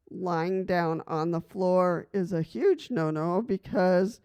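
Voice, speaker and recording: A woman's voice: on the low side, a little mellow, clean tone. A middle-aged woman from Costa Rica talking casually to camera in a personal vlog, chatty and rambling, with many fillers and frequent laughs. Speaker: vlogger.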